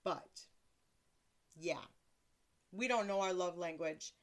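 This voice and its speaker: Blunt, crude woman podcaster. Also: slow pace. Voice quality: deeper voice. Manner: blunt, crude, self-mocking, laughs often. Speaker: podcast host. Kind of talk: casual solo podcast monologue.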